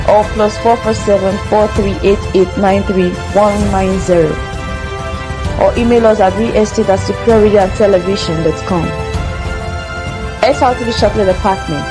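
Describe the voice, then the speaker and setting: An announcer giving a smooth, promotional read of a scripted advertisement. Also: medium pitch. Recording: voice-over with music bed.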